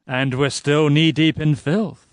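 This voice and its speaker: A man's voice: fairly high pitch, steady tone, measured pace, no echo, studio quality, natural voice. A man playing a smug, pompous salesman hero in a parody radio advert. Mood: smugly reassuring. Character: mysterious male helper from a cupboard, smug salesman hero.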